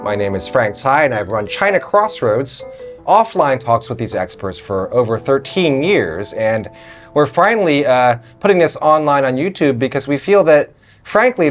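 A man in his forties hosting a talk show, giving a welcome and introducing guests with some fillers. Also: mid-range voice. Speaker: host. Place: studio with city-view windows.

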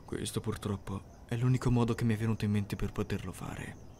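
A man playing a reserved, caring teenage boy in an anime fan ASMR roleplay, speaking softly, measuredly and sincerely. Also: lowish voice, steady tone, brisk, lightly acted. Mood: earnest, concerned. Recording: clearly noisy, ambient background sound.

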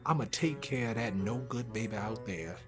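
A man playing an excitable, sentimental husband with a folksy, theatrical, blustering delivery in a live radio comedy improv. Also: medium pitch, moderate pace, music in the background, natural voice. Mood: resolved. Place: live show on a theatre stage.

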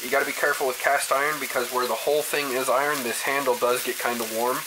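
A man's voice: mid-range voice, fast. A young man hosting a home cooking show, casually talking through each step at his kitchen stove. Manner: relaxed, folksy, talks to camera step by step. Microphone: camera mic, speaker off frame, moving around. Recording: kitchen noise, sizzling pan. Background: frying and sizzling pan.

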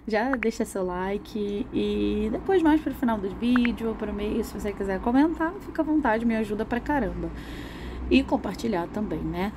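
A young adult woman, slightly hoarse from a cold, hosting her own art channel and chatting loosely while she shows off her coloring pencils. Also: medium pitch, expressive, measured pace, background noise. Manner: chatty, rambling, with laughs and restarts. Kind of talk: casual chat demonstrating coloring.

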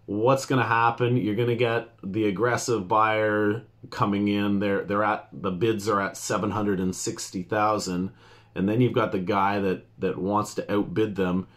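A man's voice: on the low side, fairly bright tone. A man, a realtor explaining things informally to camera in a conversational, emphatic way. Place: small indoor office.

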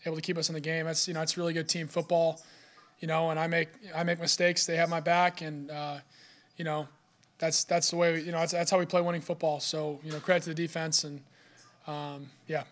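A young man, a college quarterback, answering reporters' questions at a postgame press conference, filling his answers with "you know.". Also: fairly high pitch. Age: young adult. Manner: steady, fast, filler-heavy with "you know". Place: press room in front of a sponsor backdrop. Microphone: podium mic.

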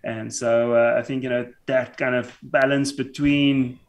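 A man's voice: mid-range voice, little pitch movement. South african guy in his 30s, afrikaans is his first language, keeps stumbling and restarting, podcast guest on a call from home. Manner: halting, stammering restarts. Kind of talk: casual podcast interview. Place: home room, remote call. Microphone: headphones and podcast mic.

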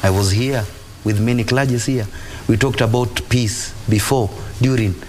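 A man's voice: mid-range voice, fairly even intonation, moderate pace, a little mellow, echoey room, slight background noise. A man with a Kenyan accent, a religious leader giving impassioned, repetitive, exhorting answers in a TV interview. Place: TV studio. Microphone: lapel mic.

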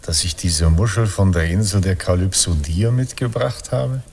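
A man playing a proud, evasive seafarer husband in a radio play, speaking formally and deliberately. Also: sits low, gravelly, a little mellow, slightly rough, heavily acted. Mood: gently challenging. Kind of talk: classic German radio play acting.

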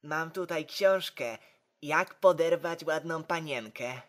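A woman playing a hapless, meek but stubborn young man in an amateur comedy drama, speaking in a flat, unconvincing way. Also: deep voice. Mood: meek, determined. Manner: unconvincing, flat, slow delivery.

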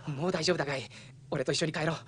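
An actor voicing a brash, hot-blooded teenage boy hero in an anime, loyal, excitable and rough-tongued. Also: medium pitch, racing, slight background noise, natural voice. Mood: earnest pleading. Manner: rough slangy speech, excitable. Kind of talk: anime voice acting. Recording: loud music behind.

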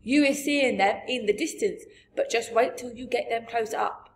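A woman voicing a big, friendly male giant from a storybook, gentle and boastful, with muddled grammar and emphatic delivery. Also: fairly high pitch, normal speed, pitched above the performer's own voice, close to an everyday voice. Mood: emphatic, warning. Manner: garbled grammar, invented words, emphatic. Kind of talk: storybook character voice.

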